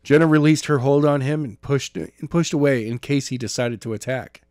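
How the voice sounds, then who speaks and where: A man's voice: medium pitch, normal speed, a little mellow, no echo, clean studio sound. A man reading a story aloud as an amateur narrator, now and then stumbling and rereading a phrase. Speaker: audiobook narrator.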